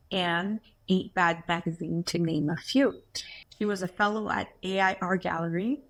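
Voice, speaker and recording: Give me a woman with a low voice hosting an interview podcast. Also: a bit bright, rough recording. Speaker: podcast host.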